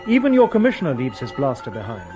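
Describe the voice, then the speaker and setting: An actor playing a polite, literal robot policeman in a radio drama, with formal, measured phrasing. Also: medium pitch, huge pitch swings, over music. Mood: calm, firm. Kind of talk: old-time radio drama acting.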